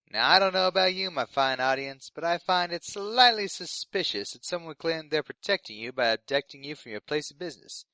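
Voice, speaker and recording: A man's voice: fairly high pitch, pushed and bright, dry recording, full character voice. A man playing a nervous, eager young intern presenting community radio news in a polite, earnest way for a scripted fiction podcast. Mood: chatty, uneasy.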